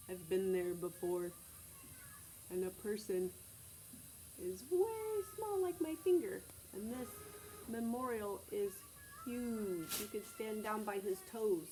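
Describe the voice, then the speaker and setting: Woman, American, preschool teacher, children's read-aloud, simple child-directed phrasing, medium pitch, frequent questions, background noise. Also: slow pace, long pauses, a little mellow, poor audio. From Texas, United States.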